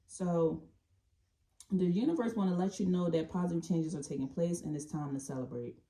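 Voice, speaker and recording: A woman giving an intuitive tarot reading, talking in a calm, meandering way and often restarting or repeating phrases. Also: on the low side, moderate pace. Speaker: tarot reader.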